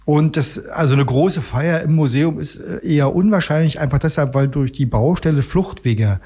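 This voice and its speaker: Husky middle-aged man, slightly high voice. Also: moderate pace, warm tone. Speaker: radio magazine studio guest and regular contributor. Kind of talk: casual radio studio conversation. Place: radio studio.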